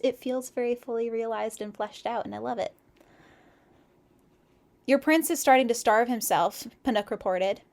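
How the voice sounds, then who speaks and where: A woman reading a story aloud expressively, in the relaxed, chatty way of a casual co-host. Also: on the high side. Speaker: co-host of a fan fiction read-aloud stream.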